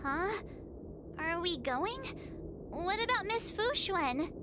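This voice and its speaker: Very high-voiced perky teenage girl. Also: takes it slowly, background noise, heavily acted. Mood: alarmed. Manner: chatty, expressive, informal. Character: perky, easily flustered teenage girl adventurer. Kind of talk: acted video game dialogue. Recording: reverberant, with music behind.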